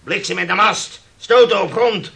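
An actor in a Dutch radio play, playing a haughty, hard-nosed shipowner who lectures and scolds indignantly. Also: male voice, high-pitched, normal speed, gravelly, a bit bright. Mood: lecturing.

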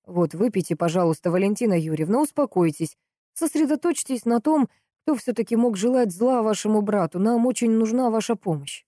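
Woman playing a measured, sceptical male investigator, mellow voice. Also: mid-range voice, expressive, dry recording, clean studio sound, pitched above the performer's own voice, natural voice. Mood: calm, urging. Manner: questioning, measured. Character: male police investigator, polite, sceptical, somewhat puzzled.

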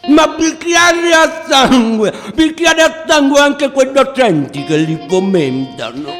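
Sinister elderly politician, very slow, murmuring pauses, radio play, reverb, faint guitar. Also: male voice, very high voice, lively intonation, a bit bright, full character voice. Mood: coldly ruthless. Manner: halting, deliberate, with drawn-out pauses and murmurs. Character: sinister older male politician advising brutal repression. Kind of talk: theatrical radio monologue. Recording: long reverb, faint music behind.

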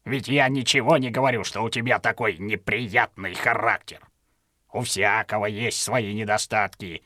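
A grumbling old drake from a storybook, with a fairly low, bright and forceful voice. He sounds pompous and self-satisfied, forever justifying his laziness. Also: fairly even intonation, normal speed, no echo, studio quality. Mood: pompous, dismissive. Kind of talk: audio storybook character acting.

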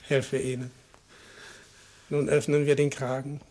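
An actor playing an old, matter-of-fact retired hangman in a radio play, with a husky voice and terse remarks. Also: mid-range voice, flat delivery, measured pace, dark tone, natural voice. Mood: calm, businesslike. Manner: terse, clipped little remarks. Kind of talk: German-language radio play acting.

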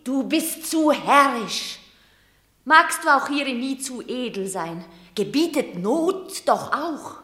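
A woman's voice: sits high, animated, takes it slowly, sharp tone, echoey room, full character voice. An actress playing a shrewd, commanding mother urging her son in a radio drama.

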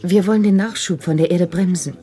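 Female actor for radio drama: somewhat low voice, idealistic, quietly rebellious grown daughter. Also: a little fast, lightly acted. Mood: earnest, urgent. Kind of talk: 1970s German radio play acting.

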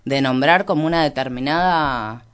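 A man with a Rioplatense Argentine accent and a very clean, resonant voice of medium pitch. He speaks as an interview guest explaining ideas. His delivery is reflective and halting, with hedges and reworded phrases. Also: a bit bright, clean tone. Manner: halting, reflective, frequent hedging and self-correction. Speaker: interview guest, activist and researcher.

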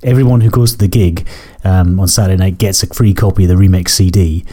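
Scottish male podcast host, deep, croaky, apologetic, rambling. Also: steady tone, fast talker, warm tone. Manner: rambling, self-correcting, apologetic. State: croaky from a cold and cough. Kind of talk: casual radio-style chat between tracks.

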